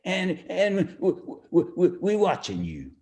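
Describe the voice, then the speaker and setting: A man's voice: high-pitched, wildly swooping pitch, slow, a little mellow, slight background noise, full character voice. An actor playing a dim, menacing mobster henchman with a stammer in a campy noir radio play. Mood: bumbling menace.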